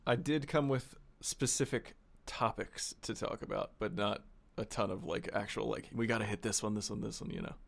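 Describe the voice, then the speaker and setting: A man's voice: fairly low pitch, fairly even intonation, normal speed, gravelly, few pauses. A man co-hosting a podcast, asking questions and chatting casually with his guests. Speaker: podcast co-host. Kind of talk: casual interview chat.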